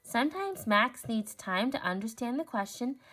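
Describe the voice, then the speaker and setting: Woman playing storybook aunt and teacher, kind, patient, gentle explaining, fairly high voice. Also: sing-song, normal speed.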